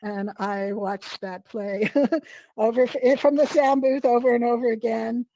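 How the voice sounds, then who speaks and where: A middle-aged woman speaks with a hoarse, slightly squeaky voice from a cold, pitched a touch high. She rambles through her story with many fillers and restarts. The sound is close and dry, as on a video call. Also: fairly even intonation, measured pace, warm tone, dry recording. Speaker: guest artist. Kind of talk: casual interview chat.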